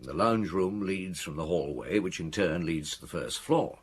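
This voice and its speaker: Deep, husky detective chief inspector. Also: male voice, lively intonation, normal speed. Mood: reflective. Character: seasoned male police chief inspector, reflective, wry storyteller. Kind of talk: radio drama dialogue, recounting a case.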